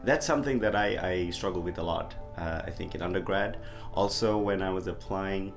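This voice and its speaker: Deep gravelly young man, hesitant. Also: a little fast, soft background music. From Bangladesh. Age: young adult. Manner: hesitant, reflective, with restarts. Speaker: graduate student. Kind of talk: documentary interview. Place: small bedroom indoors.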